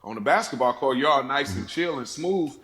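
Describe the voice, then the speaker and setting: A man casually discussing a film, retelling things in an animated way with acted-out quotes and frequent chuckles. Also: medium pitch, brisk. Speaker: podcast panellist. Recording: echoey room.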